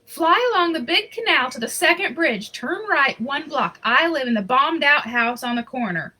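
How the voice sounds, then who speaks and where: A woman's voice: high voice, animated, normal speed, sharp tone, pitched above the performer's own voice, poor audio. A woman voicing a hopeful young child from a storybook, reading out a letter in an earnest, careful way.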